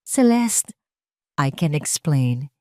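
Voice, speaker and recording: A woman voicing a grieving, guilt-ridden man in an audio drama, speaking gravely and haltingly with pain. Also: sits low, big pitch swings, a little mellow, no echo, studio quality, exaggerated voice. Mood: pleading.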